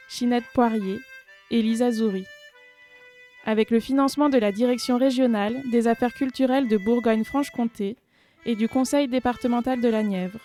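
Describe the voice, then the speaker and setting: A woman announcer reads scripted credits in a slightly high, mellow voice. Soft music plays behind her. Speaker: announcer reading end credits. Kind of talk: scripted narration.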